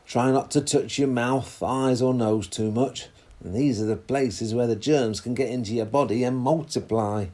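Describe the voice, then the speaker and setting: Man playing a mellow, reassuring dad. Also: mid-range voice, normal speed, lightly acted. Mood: instructive. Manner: patient, explanatory. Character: calm, reassuring dad explaining things to his daughter. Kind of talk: children's story dialogue.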